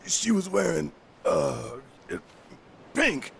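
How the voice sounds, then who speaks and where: Gruff devoted dad, very gravelly, slow and halting, emotional, game cutscene. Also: male voice, a little high, wildly swooping pitch, a little hiss or hum, full character voice. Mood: awkward, tender. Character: gruff, frantic, devoted father of a little girl. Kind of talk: video game cutscene acting.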